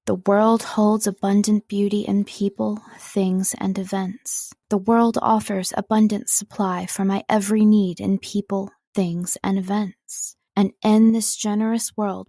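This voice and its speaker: A woman narrator reads a prepared script in a measured, carefully enunciated way. Her voice sits in a middle register with a noticeable creak, and the recording is dry and close. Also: mid-range voice, level tone, mellow, no room sound. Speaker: voice-over artist and audiobook narrator.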